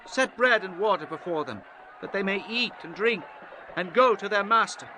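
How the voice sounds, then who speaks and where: Man acting a commanding, high-voiced ancient scripture leader. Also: expressive, normal speed, sharp tone, a little hiss or hum, poor audio. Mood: stern. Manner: declamatory, formal. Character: commanding men of ancient scripture, prophet and kings. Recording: music bed under the voice.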